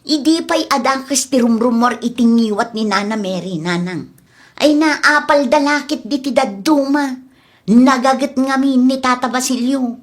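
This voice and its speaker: A woman's voice: high-pitched, a little slow. A woman acting an outspoken, emotional village woman in an audio comedy drama. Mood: concerned, soothing.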